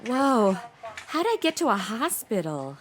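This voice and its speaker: A woman's voice: a little high, very animated, measured pace, a little hiss or hum. A woman playing a sassy, irreverent modern young woman in a comedic audio drama, slangy and full of wry delivery. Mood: dazed, sarcastic.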